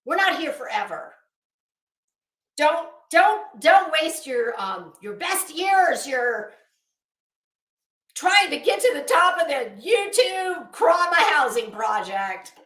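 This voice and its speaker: A woman's voice: high-pitched, big pitch swings, slow pace, a bit bright. A middle-aged woman giving an unscripted, rambling commentary rant in a sardonic, scornful, sweary manner. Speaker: online commentary vlogger. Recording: echoey room.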